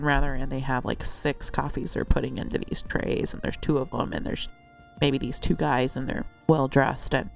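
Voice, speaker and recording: A woman with a slightly congested, cold-affected voice, telling a personal account in a casual, measured storytelling way. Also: low-pitched, creaky, mellow. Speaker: host narrating a personal account.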